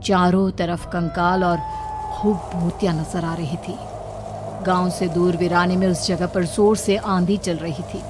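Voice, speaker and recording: A woman's voice: fairly low pitch, steady tone, a little slow, music in the background. A woman telling a horror story as a dramatic, scripted narration.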